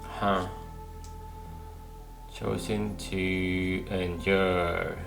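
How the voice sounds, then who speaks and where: Low, gravelly man musing aloud. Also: little pitch movement, extremely slow, music in the background. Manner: musing, repeats words to himself. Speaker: let's player. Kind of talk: casual gameplay commentary, thinking aloud.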